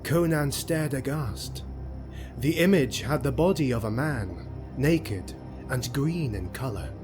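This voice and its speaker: A man narrating a grim sword-and-sorcery audio drama as storyteller, in a measured, theatrical, solemn delivery. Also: mid-range voice.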